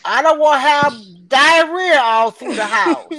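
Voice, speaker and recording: Woman, bright tense tone, blunt one-liners in casual chat. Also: sits high, unhurried. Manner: blunt, short remarks.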